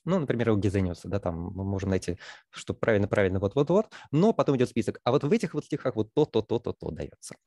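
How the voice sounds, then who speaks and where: A man's voice: on the low side, animated, quick pace, dark tone, dry recording, clean studio sound. A man giving a lecture on language, talking through his ideas with many fillers and restarts. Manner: thinking aloud with frequent fillers and restarts.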